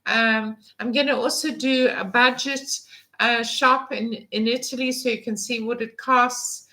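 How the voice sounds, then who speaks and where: A woman's voice: on the high side, steady tone, measured pace, pushed and bright, low-quality recording. A woman in her fifties hosting a casual live vlog, chatting in a rambling way with many fillers and restarts. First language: English. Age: 50s. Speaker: vlog host. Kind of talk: casual live-stream chat.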